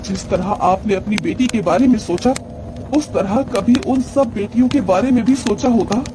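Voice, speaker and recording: A woman's voice: mid-range voice, moderate pace, noisy background, lightly acted. A woman playing a sorrowful, earnest young village daughter speaking reproachfully in a horror audio drama. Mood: grieved reproach.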